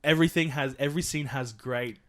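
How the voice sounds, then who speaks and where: A male podcast co-host in a loose, jokey chat who rambles from one gag to the next. His voice sits at a middle pitch and he keeps the talk easygoing. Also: a little fast. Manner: joking, rambling, laughs often. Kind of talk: casual comedic chat.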